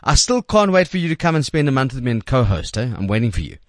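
A man's voice: mid-range voice, big pitch swings, quick pace, dry recording, clean studio sound. A South African man hosting a chatty radio interview in an effusive way. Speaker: radio host.

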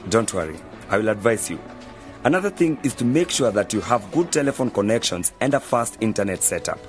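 Radio drama casting: a man as calm, knowledgeable young male student mentor, lower-pitched, measured delivery, music underneath. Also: natural voice. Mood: patient, instructive. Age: young adult. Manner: explanatory, measured. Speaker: university journalism student mentoring novices. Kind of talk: scripted educational radio drama.

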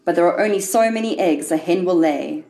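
A woman reading a literary short story in a measured, wry storytelling manner. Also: on the low side, mellow. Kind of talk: scripted literary narration.

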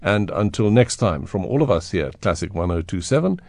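A man's voice: deep voice, very animated, brisk, vocal fry, darkish tone, no room sound. A man hosting a radio interview show, chatting with his guest in the studio. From South Africa. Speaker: radio host. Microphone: studio microphone.

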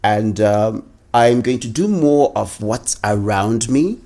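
A man hosting a podcast who asks his guest questions in a halting way, with many fillers. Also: on the low side, animated, dark tone. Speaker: podcast host. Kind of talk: interview questions.

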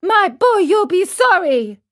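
A woman acts out a motherly storybook fairy. Her voice is high and unhurried, with plenty of melody. She is patient and gently scolding. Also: sing-song, full character voice. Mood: grave warning. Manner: patient, admonishing. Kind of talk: storybook character reading.

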